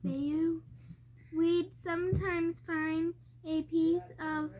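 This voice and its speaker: A young child reading a storybook aloud, haltingly and word by word, stumbling over harder words. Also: very high voice, little pitch movement, darkish tone.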